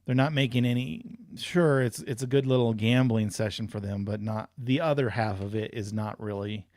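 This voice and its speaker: A man's voice: medium pitch, normal speed, a little mellow, no echo. Guy on a podcast, kinda hesitant, trails off a lot, dead dry close mic. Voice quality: says he has a big voice. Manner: hesitant, trailing off, many restarts. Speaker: podcast co-host, dice event organizer. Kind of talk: casual chat.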